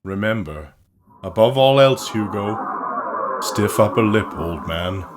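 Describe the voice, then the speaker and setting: An actor playing a genteel, worldly-wise officer and loyal friend in an audio drama, measured and philosophical. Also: male voice, lowish voice, lively intonation, noisy background. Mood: gently admonishing.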